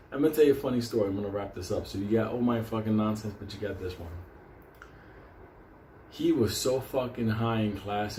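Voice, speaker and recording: A man's voice: fairly low pitch, dark tone, echoey room, a little hiss or hum. Rowdy, foul-mouthed Puerto Rican man. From Puerto Rico. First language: Spanish. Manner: rowdy, laughs often, swears constantly. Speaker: show host. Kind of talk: casual profane storytelling monologue.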